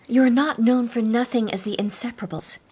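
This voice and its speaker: Coy, husky young lady detective. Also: fairly high pitch, fairly even intonation, brisk, warm tone. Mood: arch, coy. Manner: arch, playful, genteel. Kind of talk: period detective radio drama acting.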